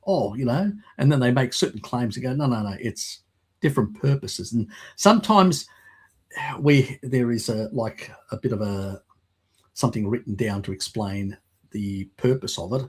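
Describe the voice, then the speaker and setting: A man, explaining things in a rambling, halting way on a video call, with a slight lingering croak from a cold. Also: medium pitch, animated, darkish tone. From Australia. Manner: rambling, halting with fillers and restarts. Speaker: guest, works in mapping. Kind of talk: casual live-stream chat.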